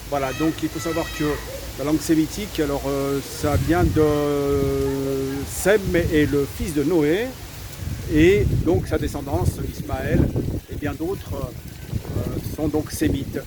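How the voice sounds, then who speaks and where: Hesitant man talking over street traffic. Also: medium pitch. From France. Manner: hesitant, lots of fillers and repeated words, firm assertions. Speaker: vlogger. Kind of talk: opinion talk to camera.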